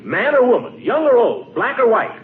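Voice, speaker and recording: Blustery, swooping pompous uncle, old radio. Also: male voice, very high-pitched, sing-song, moderate pace. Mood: indignant blustering. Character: pompous, blustering middle-aged uncle, excitable and easily flustered. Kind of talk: 1940s radio comedy acting. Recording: noisy, tinny 1940s radio broadcast.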